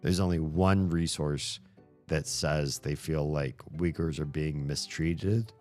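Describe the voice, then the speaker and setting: A man streaming and answering chat in a casual, hesitant way, thinking aloud with many pauses and fillers. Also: extremely low pitch, darkish tone, faint music behind.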